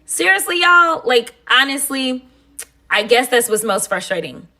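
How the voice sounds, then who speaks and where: A woman's voice: high voice, expressive, measured pace, pushed and bright. A woman with a Texan accent talking casually and sassily to her followers in a direct-to-camera social video. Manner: sassy, conversational, addresses viewers as y'all.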